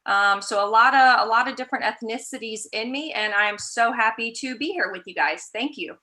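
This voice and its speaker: A woman's voice: fairly high pitch, fairly quick, sharp tone. A woman educator for whom English is not her first language, introducing herself in a friendly, hesitant way. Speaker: educator, panelist.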